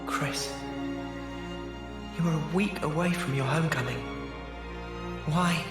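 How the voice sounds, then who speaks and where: A man playing a thoughtful, earnest young soldier in a video game, speaking in a measured, reflective way. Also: a little high, loud music behind the voice. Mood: pensive. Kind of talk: video game cutscene voice acting.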